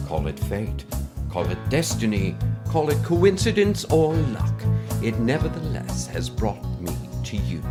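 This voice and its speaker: A man playing a mysterious wealthy benefactor in an audio drama, with a pompous, florid, formal manner and theatrical pauses. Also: lowish voice. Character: mysterious wealthy male benefactor, pompous, grandiose, slyly menacing. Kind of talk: scripted audio drama monologue.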